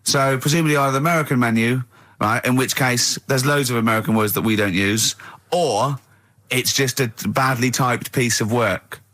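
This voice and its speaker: A man's voice: medium pitch, a bit bright. A man hosting a comedy radio show in casual banter, butting in with teasing questions. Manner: interrupting, quick-fire teasing questions. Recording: crowded studio chatter and laughter behind.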